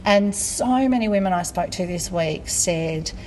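Chatty woman podcaster, slightly low voice, noisy. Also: unhurried, darkish tone. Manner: chatty, informal. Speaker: podcast host. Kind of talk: casual chat.

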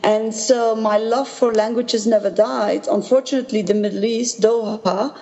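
A woman from Belgium, a native Dutch speaker, recounting her experiences as an interview guest in a measured, earnest way. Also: fairly high pitch, steady tone, darkish tone. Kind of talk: personal recollection in an interview. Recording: dull sound with long reverb.

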